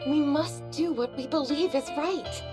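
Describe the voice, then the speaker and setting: Practical female squad member, brisk, high voice, light music under. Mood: earnest. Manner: brisk, direct.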